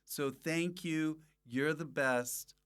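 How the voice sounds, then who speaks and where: A man's voice: on the high side, extremely slow, a bit bright, clear, resonant tone. A man hosting a podcast in casual comedic chat, full of joking, mock-abusive banter. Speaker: podcast host.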